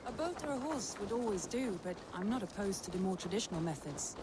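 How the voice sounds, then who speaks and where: An actress playing a spirited, restless Viking woman in a video game, wry and expressive. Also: medium pitch, fast talker, very noisy, lightly acted. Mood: eager, wry. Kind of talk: video game dramatic acting.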